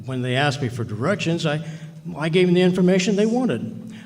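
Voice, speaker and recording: Scheming, cowardly western villain, high and swooping man acting. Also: sing-song, brisk, darkish tone. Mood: nervous flattery. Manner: nervous, blustering, pleading. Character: scheming cowardly male western villain, panicky crooked businessman. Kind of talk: old-time western radio drama acting. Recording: reverberant hall.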